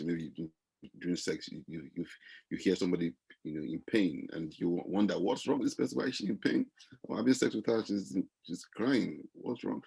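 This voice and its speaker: A man taking part in a panel discussion, asking questions in a halting, hesitant way and often repeating words. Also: low voice, gravelly, darkish tone, breathy edge, rough recording.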